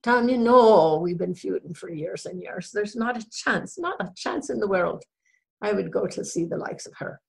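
Woman as obstinate Irish wife, comic story character, creaky voice, brogue, sullen, scornful, curt, evasive. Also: mid-range voice, animated, moderate pace. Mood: indignant. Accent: affected Irish brogue. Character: stubborn, sullen, feuding ailing Irish wife.

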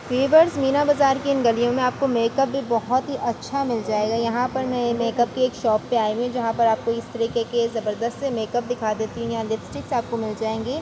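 A chatty Pakistani woman with a slightly high voice films herself touring market stalls. She talks enthusiastically and quizzes shopkeepers on prices. Clear market noise runs behind her phone recording. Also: level tone, a little fast, hardly any pauses. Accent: Pakistani Urdu. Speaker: shopping vlogger. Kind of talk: market tour vlog. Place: busy indoor market shops.

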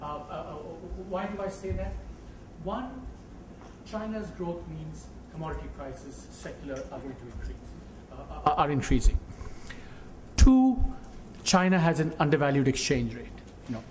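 A man's voice: a little high, animated, normal speed, long pauses. A middle-aged man giving emphatic panel remarks, often repeating words as he starts a phrase. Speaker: panelist, economist. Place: indoor conference panel stage. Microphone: gooseneck table microphone. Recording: clearly noisy conference room recording.

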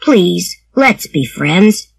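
A woman's voice: fairly low pitch, big pitch swings, very slow, gravelly, breathy edge, exaggerated voice. A woman voicing a proud but polite schoolboy in a storybook reading, sincere and plain. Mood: earnest.

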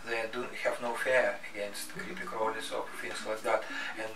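A middle-aged man explaining his specialist subject in an interview, with halting, hesitant phrasing. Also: fairly low pitch, level tone, noisy background. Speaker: museum curator of entomology, spider specialist. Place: book-lined office, indoors. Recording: echoey room, distant mic, faint music.

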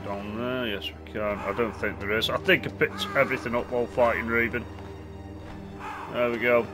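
A man's voice: mid-range voice, little pitch movement, moderate pace. A man hosting a gaming channel, chatting and exclaiming as he comments on his gameplay. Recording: game music behind voice.

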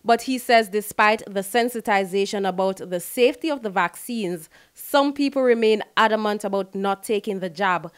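A woman's voice: mid-range voice, normal speed, few pauses, clean tone. A woman with a Jamaican accent narrating a scripted news report.

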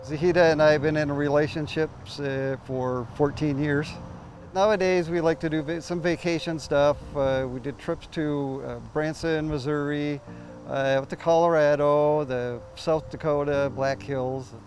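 A middle-aged American man with a halting, plain-spoken way of talking in an interview. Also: a little high. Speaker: client's partner. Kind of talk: interview testimonial. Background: soft music.

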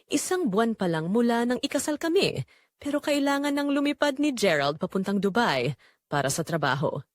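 Lonely young Filipina wife played by a woman, confessional audio drama narration, earnest, expressive melody, dry studio sound. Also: fairly high pitch, moderate pace, dry recording. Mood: wistful, lonely. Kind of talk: scripted first-person audio drama narration.

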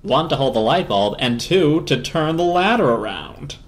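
Chatty, jokey radio character acted by a reader, animated storybook delivery. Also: medium pitch, moderate pace, natural voice.

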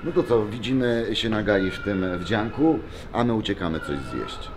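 A man's voice: on the low side, lively intonation, moderate pace. A man, chatting casually to the camera in a vlog. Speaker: vlog subject, MMA fighter. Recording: noisy on-location vlog audio.

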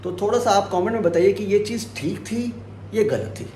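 A man's voice: high voice, moderate pace, dark tone, a little hiss or hum. A young man vlogging in a casual, chatty way, talking straight to his viewers, with a sore throat that leaves him a little unwell. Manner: chatty, addresses viewers directly, mixes English words in. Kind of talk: casual vlog chat to viewers. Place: home and hotel, indoors.

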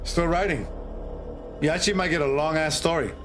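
A middle-aged man hosting a livestream, chatting casually and reading a horror story in a drawn-out, theatrical way with pauses. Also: fairly high pitch, fairly bright tone. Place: indoor streaming setup. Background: music.

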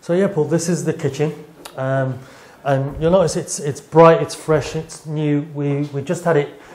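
Shop owner, 30s, mellow warm voice, even explanatory delivery, camera mic. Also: male voice, on the high side, a little slow. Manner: calm, explanatory, with many fillers. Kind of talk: on-site interview. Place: shop and community hall, indoors.

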